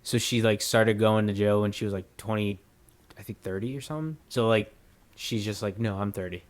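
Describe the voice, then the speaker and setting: A man's voice: fairly low pitch, fairly quick. A man in his twenties from the United States, a guest in a casual podcast chat, easygoing and profane, laughing easily. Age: 20s.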